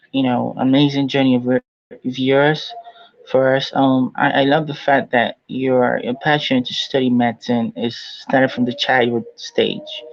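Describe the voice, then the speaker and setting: A man hosting an interview, putting questions and appreciative remarks deliberately, with "you know" dropped in often. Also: medium pitch, gravelly, no echo. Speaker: interviewer, host. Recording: dull lo-fi audio.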